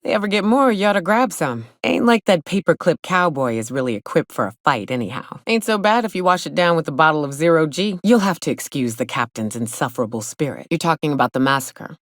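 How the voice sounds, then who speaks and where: An actress playing a gruff, hard-drinking female monster hunter in a video game, sardonic and blunt, with a loose, earthy drawl. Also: sits low, big pitch swings, fairly quick, few pauses, no room sound, clean studio sound. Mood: sardonic, casual. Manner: sardonic, blunt, swaggering, colloquial swearing. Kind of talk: video game character dialogue. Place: close, dry studio recording.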